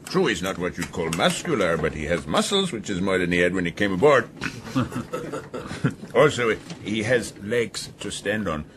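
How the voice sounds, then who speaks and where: Domineering sea captain, swooping voice. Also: male voice, on the low side, very animated, background noise. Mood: mocking. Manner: sardonic, probing, authoritative, with deliberate hums. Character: domineering, mocking sea captain of a sealing schooner. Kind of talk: radio drama acting.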